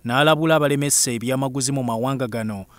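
A male news reporter reads the voice-over at a quick clip. The sound is close and dry, with no room around it. His delivery stays brisk and even. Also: mid-range voice, dry recording.

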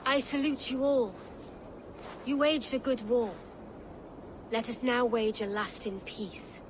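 An actress playing a dignified middle-aged noblewoman, formal and measured in her speech. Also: a little high, fairly even intonation, background noise. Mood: diplomatic. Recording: game soundtrack with music underneath.

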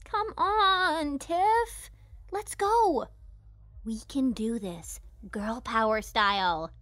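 A woman's voice: high voice, very slow, a bit bright, dry recording, exaggerated voice. A woman playing a perky, confident mob princess in her early twenties, girlish and eager, in an audio drama. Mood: excited, confident.

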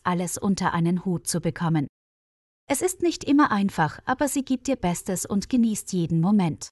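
Woman reading a story for language learners, careful phrasing, mid pitch, really dry sound. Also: a little mellow, no echo. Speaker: narrator of a German listening-practice story.

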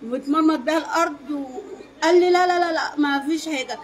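A middle-aged Egyptian woman speaks in a high voice at a quick clip. She tells her account emphatically, as a witness in a street interview, with faint noise around her outdoors. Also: a faint crowd. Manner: emphatic, animated storytelling with quoted dialogue. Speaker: neighbour giving eyewitness account. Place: outdoors against a brick wall in a street.

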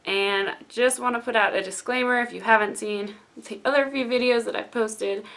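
A young woman, hoarse from losing her voice, reacts to a trailer at her desk webcam. Her voice sits a little high and cracks with wear as she gushes and chats. The sound is close and plain, from a room lined with shelves. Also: fairly even intonation, moderate pace, a bit bright, rough recording. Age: young adult. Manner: chatty and gushing. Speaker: YouTube reaction host. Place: indoor room with bookshelves.

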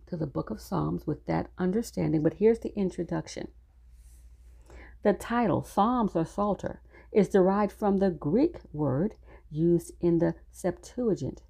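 A woman's voice: on the low side, unhurried, creaky, mellow, slightly rough. A woman teaching a Bible study class, reading scripture aloud in an earnest, instructive way and addressing her listeners directly.

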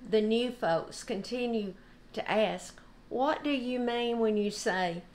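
An older woman giving an informal, unhurried devotional talk. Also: fairly high pitch, darkish tone. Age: older, about 70s. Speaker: devotional host. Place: home kitchen, indoors.

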